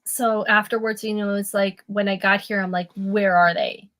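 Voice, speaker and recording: A woman's voice: medium pitch, steady tone, normal speed, fairly bright tone. Stop-start storytelling woman guest. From born in Peru, raised in Canada. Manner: conversational, stop-start, self-deprecating. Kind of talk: casual conversation.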